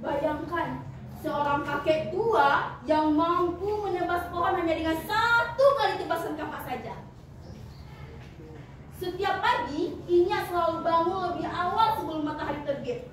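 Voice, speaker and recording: A woman's voice: extremely high pitch, far from the mic. Teen girl storyteller, dramatic and chatty with the crowd, super echoey hall, noisy. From West Sumatra, Indonesia. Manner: lively, addresses audience, dramatic pauses. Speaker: student storyteller. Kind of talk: expressive storytelling performance.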